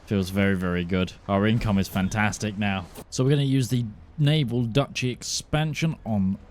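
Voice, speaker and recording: A man casually narrating his own strategy game run, thinking aloud and swinging between flat musing and sudden excitement. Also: on the low side, normal speed. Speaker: gaming YouTuber.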